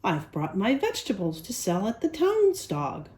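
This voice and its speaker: Storybook cat farmer, read by a woman: cheerful, innocent, earnest, animated intonation. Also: mid-range voice, normal speed, roomy sound.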